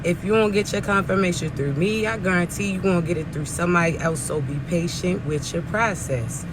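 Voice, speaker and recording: High, raspy male spiritual reader; earnest, coaching delivery; traffic audible behind. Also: a little fast. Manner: earnest, coaching, repeats "chosen ones" and "see". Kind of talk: live-streamed spiritual message to viewers. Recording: outdoor traffic noise behind.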